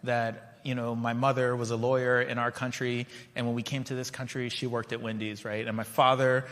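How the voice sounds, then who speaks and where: Bright-voiced man speaking in a reverberant hall. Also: mid-range voice, level tone, brisk. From Liberia. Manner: conversational, storytelling, checks in with the audience. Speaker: keynote speaker. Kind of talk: conference keynote speech. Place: large conference hall.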